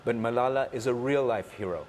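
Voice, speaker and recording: A man from Pakistan giving interview answers as a producer, in a halting way with pauses and repetitions. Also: medium pitch, a little mellow. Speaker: interview guest, cartoon producer. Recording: faint background room noise.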